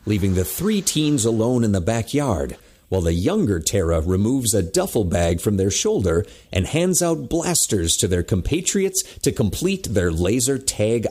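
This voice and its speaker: A man's voice: lowish voice, big pitch swings, unhurried, darkish tone, breathy edge. A man delivering scripted dramatic narration as the narrator of a story.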